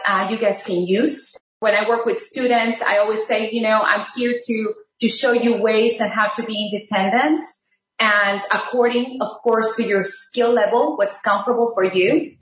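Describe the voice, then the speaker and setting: A middle-aged woman, a Spanish native speaker, talks through a cooking lesson in a friendly, rambling way, full of ums and uhs. Her voice sits a little high. The room around her rings with noticeable echo. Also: a bit bright. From Texas border region. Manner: friendly, chatty, many fillers and restarts. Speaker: rehabilitation teacher, guest. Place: home kitchen.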